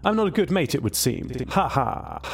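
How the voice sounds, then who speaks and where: Man playing a lonely male ship AI, chatty, joking, raised pitch, lively storybook voice. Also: normal speed, gravelly, faint music behind. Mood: wry. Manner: talkative, joking, eager for company.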